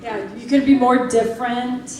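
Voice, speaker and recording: Young woman panelist, earnest and chatty, mid pitch, far off in a boomy hall with a crowd behind. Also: flat delivery, normal speed. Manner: earnest and chatty, laughs along. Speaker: panelist answering fan questions. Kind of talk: casual convention Q&A. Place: large convention hall. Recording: very echoey hall with a loud crowd, from afar.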